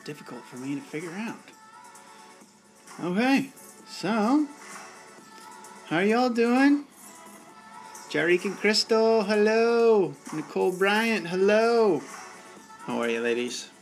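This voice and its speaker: A man's voice: sits high, animated, takes it slowly, long pauses, soft background music, low-quality recording. A young man hosting a live bartending stream, chatting casually and laid-back with viewers. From United States. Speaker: bartending channel host.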